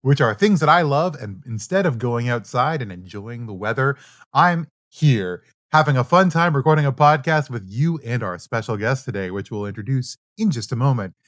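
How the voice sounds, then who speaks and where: A man's voice: medium pitch, lively intonation, moderate pace, a bit bright, dry recording, studio quality. A man from Canada hosting a podcast, chatty and upbeat. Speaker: podcast host. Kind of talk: podcast interview.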